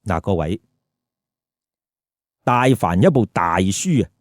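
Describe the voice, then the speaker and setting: A man's voice: fairly low pitch, lively intonation, slow pace, no echo, clean studio sound. A man with a Hong Kong Cantonese accent telling a story with colloquial, emphatic commentary and rhetorical questions. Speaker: storyteller host.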